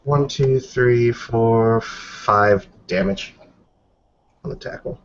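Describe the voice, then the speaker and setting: A man narrating a tabletop roleplaying game in a halting way, pausing between phrases. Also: medium pitch, dull audio, slight background noise.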